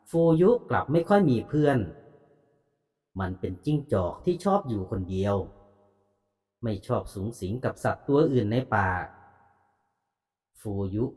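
A male storyteller reads bedtime fables with a calm, moralizing delivery. His pitch moves a lot across each phrase, and a long reverb hangs behind every sentence.

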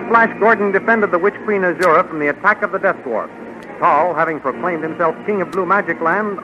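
A man narrating an adventure serial as announcer, in dramatic scripted 1930s radio style. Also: sits high. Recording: muffled old 1930s radio recording. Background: music.

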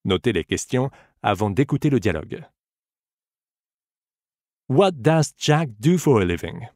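A man's voice: medium pitch, sing-song, moderate pace, a little mellow, no echo, clean studio sound. A man narrating a scripted bilingual audiobook lesson as a teacher, addressing the listener in an encouraging way.

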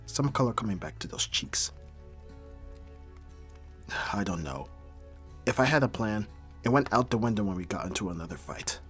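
A man acting a brooding mafia boss, hard but secretly caring, in a dramatic audio roleplay with terse, measured delivery. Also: fairly low pitch, animated, fairly bright tone, faint music behind. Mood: low, gentle. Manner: terse, profane, sighing, measured.

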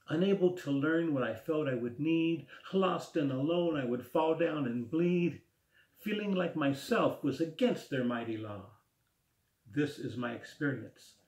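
A man in his sixties reading his own rhymed poem aloud at home in a slow, rhythmic recitation. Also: medium pitch. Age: 60s. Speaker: poet. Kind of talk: spoken word poetry reading. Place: small room at home, indoors. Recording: echoey room.

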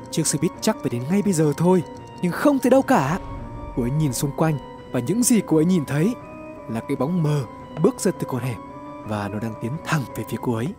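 A man narrating a scripted horror story in a suspenseful, expressive, dramatic way. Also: high-pitched. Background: background music.

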